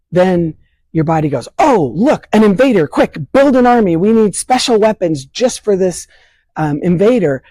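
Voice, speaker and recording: An older hoarse woman, leader of a vaccine advocacy group, earnestly explaining health topics in an interview from home with simple analogies. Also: sits low, dark tone, no room sound. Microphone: remote interview from home.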